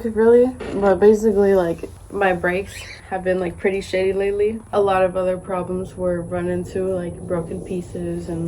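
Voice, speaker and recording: A woman's voice: fairly low pitch, warm tone, slight background noise, poor audio. A young woman vlogging while sick and stuffy, chatting casually and rambling with lots of "like", outdoors on a patio. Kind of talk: casual vlog chat. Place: outdoor patio by a golf course. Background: birds and outdoor ambience.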